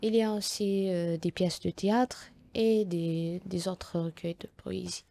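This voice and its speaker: A young woman from Algeria speaks, her being Tamazight. Her voice sits low and barely moves in pitch, with a soft, dark tone. She answers interview questions haltingly, full of fillers and restarts. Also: steady tone, vocal fry. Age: young adult. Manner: hesitant, frequent fillers and restarts. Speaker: guest author.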